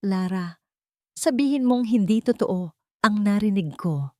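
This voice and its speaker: A woman's voice: mid-range voice, expressive, a little slow, mellow, no echo, close to an everyday voice. A woman narrator plays a horrified husband in a Filipino audiobook drama. He sounds confused, stunned and demanding. The recording is dry and close. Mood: stunned disbelief.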